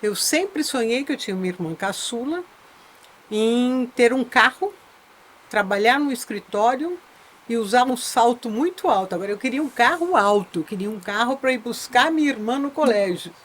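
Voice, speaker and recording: A woman's voice: fairly high pitch, expressive, normal speed. An older Brazilian woman, a veteran insurance broker, reflectively telling her life story as an interview guest, often adding tag questions. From Brazil, born in Minas Gerais, raised in São Paulo.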